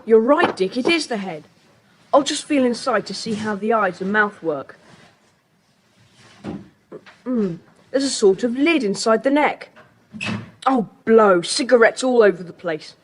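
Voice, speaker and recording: A woman's voice: a little high, expressive. A woman playing an eager, bossy schoolboy who takes charge in a children's audio drama. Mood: urgent. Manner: brisk, take-charge. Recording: faintly hissy cassette tape.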